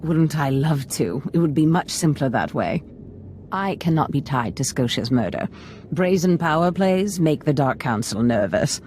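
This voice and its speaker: A woman's voice: sits low, lively intonation, moderate pace, darkish tone, a little hiss or hum. A woman playing a haughty, scheming Sith master in a video game, theatrical and calculating. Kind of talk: video game dramatic acting.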